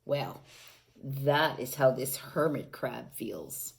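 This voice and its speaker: A woman reading a picture book aloud to young children, gently asking them questions and adding playful sound effects. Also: rumbling low, animated, takes it slowly, vocal fry, slight background noise. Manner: gentle, coaxing, asks the young listeners questions.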